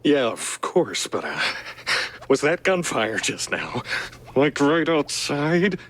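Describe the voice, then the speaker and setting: A man playing a nervous, evasive studio worker in a cartoon video game, husky and halting, stammering as he goes. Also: mid-range voice, big pitch swings. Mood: uneasy.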